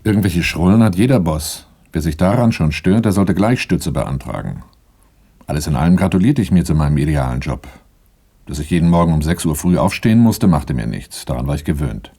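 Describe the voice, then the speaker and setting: Deep husky guy a sober bodyguard narrating his crime story, dry and matter of fact. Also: expressive, brisk, dark tone, heavily acted. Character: sober, dutiful male bodyguard telling his own story. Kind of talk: first-person narration in a radio crime play.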